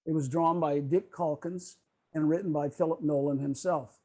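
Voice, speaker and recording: A man's voice: fairly high pitch, steady tone, moderate pace, dark tone. A man presenting a prepared, informative history talk in an online video meeting.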